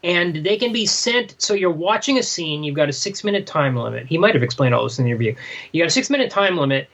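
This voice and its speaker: A man's voice: fairly high pitch, lively intonation, quick pace, creaky, fairly bright tone. A man co-hosting a casual discussion podcast, thinking aloud in broken, questioning phrases. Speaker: podcast co-host. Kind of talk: casual discussion of a graphic novel.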